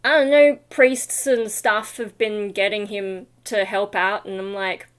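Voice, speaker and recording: A woman's voice: mid-range voice, steady tone, unhurried. Tired woman rambling casually to her viewers. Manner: scattered, self-deprecating, informal. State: tired, not fully awake. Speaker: vlogger and metal vocalist. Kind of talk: casual rambling vlog chat.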